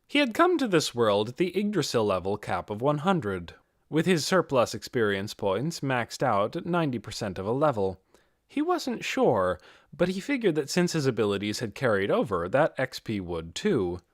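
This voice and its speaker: A man reading a scripted fantasy audiobook in an expressive, dramatic storytelling manner. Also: mid-range voice, normal speed, dry recording, clean studio sound. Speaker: audiobook reader.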